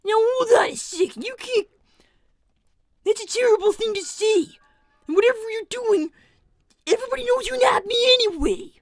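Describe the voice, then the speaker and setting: A woman's voice: very high-pitched, lively intonation, normal speed, pauses often, dry recording, faint music behind. A woman voicing an exasperated young fox inventor in a casual, argumentative improvised livestream roleplay. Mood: indignant.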